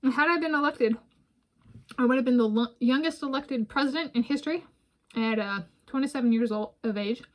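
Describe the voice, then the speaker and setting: American woman, 30s, slightly high-pitched, for casual digressive home food vlogs, chats between bites. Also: fairly quick. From United States. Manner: rambling, digressive, talks while eating. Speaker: vlogger. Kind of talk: casual food vlog talk. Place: home room with bookshelves, indoors.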